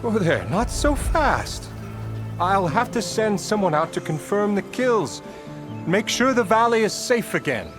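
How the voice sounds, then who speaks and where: A smug frontier boss in a game, his fairly high voice swinging widely as he talks. He is pompous and theatrical, a smooth schemer wheedling for respect, over faint music. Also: very animated, unhurried. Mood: stalling. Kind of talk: video game character acting.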